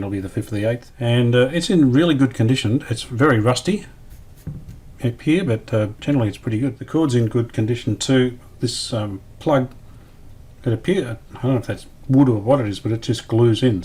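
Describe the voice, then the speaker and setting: A man giving casual hands-on restoration commentary, thinking aloud in a tentative, unhurried way. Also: medium pitch, a little mellow, breathy edge. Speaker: radio restorer presenting a repair series.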